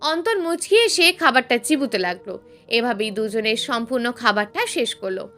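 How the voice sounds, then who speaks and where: A woman's voice: a little high, lively intonation, moderate pace, bright, forceful tone. A woman reading a scripted story aloud as a dramatic narration. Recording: music behind the voice. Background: background music.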